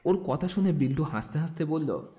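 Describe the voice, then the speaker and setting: A man's voice: on the high side, animated, normal speed, mellow. A man narrating a scripted audio story.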